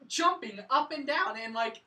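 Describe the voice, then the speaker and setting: Woman doing casual movie chat, lively pitch, kinda exasperated, echoey and off the mic. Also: moderate pace, crowd noise. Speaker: co-host of a film review show. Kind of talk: casual chat, recapping and critiquing. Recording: roomy echo, distant from mic.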